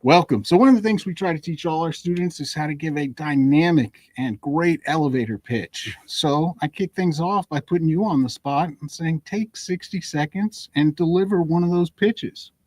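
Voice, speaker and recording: A man with a fairly high voice hosts a podcast. He talks conversationally, and the sound is close and dry with no room on it. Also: normal speed, few pauses, darkish tone, no room sound. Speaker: podcast host.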